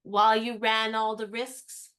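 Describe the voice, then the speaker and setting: Actress as a headstrong, teasing young woman; crisp delivery, fairly high voice. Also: flat delivery, a little slow, fairly bright tone. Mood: wry. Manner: crisp, teasing and firmly insistent. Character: spirited, independent young woman, teasing and stubborn. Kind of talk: radio play acting.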